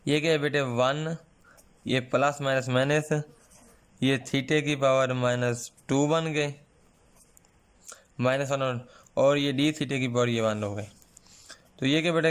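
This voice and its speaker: A male math teacher, giving a patient online lecture with a sore throat, clearing it now and then. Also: mid-range voice. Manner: slow, patient, calls students "son" often.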